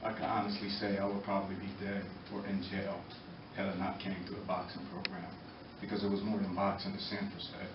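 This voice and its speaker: A middle-aged American man giving earnest, halting, impromptu testimony at a public meeting, pausing between short phrases. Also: deep voice, monotone. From Norwalk, Connecticut, United States. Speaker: former boxer speaking in support of a youth program. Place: indoor meeting room, far from the mic. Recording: echoey, muffled, distant, noisy room recording.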